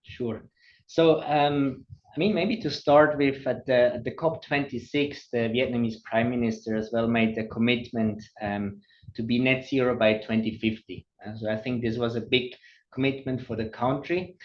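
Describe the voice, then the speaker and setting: Swiss-accented man, hesitant and halting. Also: mid-range voice, steady tone, lo-fi sound. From Switzerland. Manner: hesitant, many ums and uhs. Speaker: guest. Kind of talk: podcast interview.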